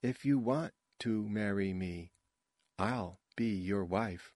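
A man plays a reluctant young actress in an audiobook. She recites love lines slowly and quietly, with a flat, wooden delivery and a dry, close sound. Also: fairly low pitch, no room sound. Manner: slow, soft, wooden delivery.

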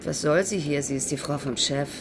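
A woman's voice: low voice, fairly quick, background noise. An actress in a German radio play as a guarded, plain-spoken woman who answers curtly and matter-of-factly. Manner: curt, matter-of-fact.

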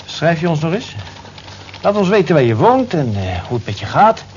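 Teasing office colleague, highish male voice, lively swoops, nosy, radio play, dull noisy recording. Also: moderate pace. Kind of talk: radio drama dialogue.

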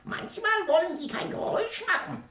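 A woman's voice: extremely high pitch, animated, moderate pace, a bit bright, reverberant. A woman playing a clever, teacherly parrot in a children's radio play, with a theatrical, instructive sing-song delivery. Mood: encouraging, schooling. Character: clever, teacherly female parrot advising a doctor.

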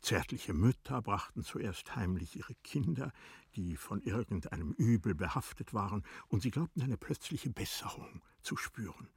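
A man reads literary narration with measured solemnity. His voice is fairly low and very breathy, recorded close and dry, and he takes his time over each phrase. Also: lively intonation, no room sound, whispered.

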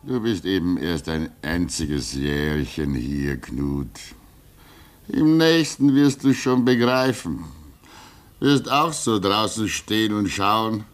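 A man acting in a 1950s radio drama as an older, fatherly polar station worker who talks in a gentle, chuckling, soothing way. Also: low-pitched, sing-song, takes it slowly, exaggerated voice.

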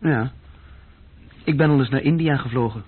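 An actor playing a bored, self-deprecating young male bookkeeping clerk in a radio drama, speaking haltingly. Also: mid-range voice, husky, close to an everyday voice. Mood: confiding. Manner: halting, searching for words. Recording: muffled old radio recording.

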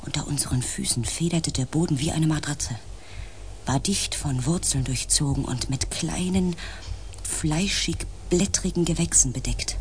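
A male actor playing an anxious member of a space expedition in a radio play, telling the story in a suspenseful, hushed manner. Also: a little high, moderate pace, slight background noise, natural voice. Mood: eerie, hushed. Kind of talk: radio play acting with first-person narration.

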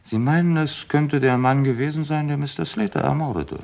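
An actor playing a curt, authoritative chief inspector with dry courtesy, in a vintage German radio crime play. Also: male voice, a little high, a little fast, creaky, darkish tone. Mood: brisk, businesslike. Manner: curt, commanding, dryly courteous. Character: brisk, authoritative male police chief inspector. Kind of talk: 1950s-80s German radio crime drama acting.

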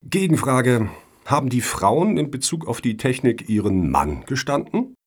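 An actor playing a wry, officious foundation board member in a satirical radio play, with an ironic, formal way of talking. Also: male voice, medium pitch, sing-song, a little slow. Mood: wry, dry.

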